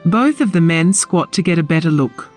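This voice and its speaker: Animated woman narrator, scripted fantasy story. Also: on the low side, normal speed. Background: music.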